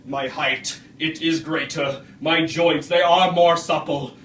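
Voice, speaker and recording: Wretched male creature, played by a man: anguished stage oratory, slightly high-pitched, deliberate and archaic, echoing room. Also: steady tone, a bit bright. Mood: anguished, defiant. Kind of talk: dramatic stage monologue. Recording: roomy, distant microphone, faint noise.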